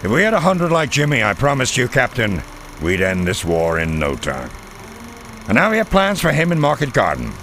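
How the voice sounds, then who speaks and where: An actor playing a middle-aged intelligence colonel from the Second World War, delivering a formal, measured mission briefing in a game cutscene. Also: male voice, mid-range voice, wildly swooping pitch, sharp tone, slight background noise, exaggerated voice. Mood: grave, admiring. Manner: measured, formal, crisp military delivery with weighty pauses. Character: formal, authoritative WWII American intelligence colonel briefing officers. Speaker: military briefing officer. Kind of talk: scripted dramatic military briefing.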